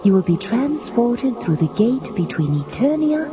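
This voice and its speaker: A woman's voice: on the high side, big pitch swings, a little slow, muffled recording. A woman voicing a solemn, mystical guiding sorceress in a 1980s cartoon readalong. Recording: band-limited old readalong tape.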